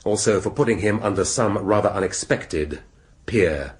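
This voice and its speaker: An actor playing a shrewd middle-aged English police inspector in a radio drama, speaking deliberately and rhetorically with dramatic pauses. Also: male voice, fairly low pitch, close to an everyday voice. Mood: commanding. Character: shrewd, theatrical male police inspector laying out a murder case.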